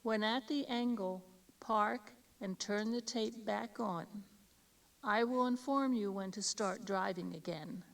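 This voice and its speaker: A woman's voice: mid-range voice, slow pace, vocal fry. A woman reading scripted instructions as an audio tour guide, on an old cassette recording. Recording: distant-sounding old cassette tape.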